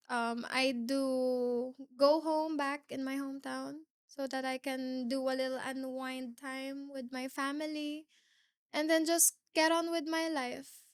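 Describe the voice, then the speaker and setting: A young Filipino woman in her late teens speaks with a Filipino accent, her voice sitting high and her pace unhurried. She answers interview questions carefully, pausing on fillers. The sound is dry and close, with little room around it. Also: no room sound. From Philippines. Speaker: guest, pageant winner.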